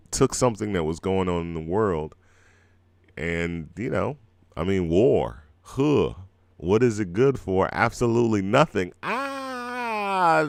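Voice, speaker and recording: A man's voice: low-pitched, gravelly, clear, resonant tone, dry recording. A middle-aged man hosting a music show in a rambling, laid-back chat, his throat scratchy from a cold. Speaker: radio show host. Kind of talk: casual live show chat.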